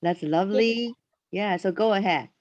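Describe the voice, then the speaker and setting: A woman teaching an online English class, talking in a halting way with many restarts. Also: medium pitch, darkish tone, dry recording. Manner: halting, restarts often, says "I mean" a lot. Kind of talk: casual classroom talk. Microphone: video call. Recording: dull dry video call audio.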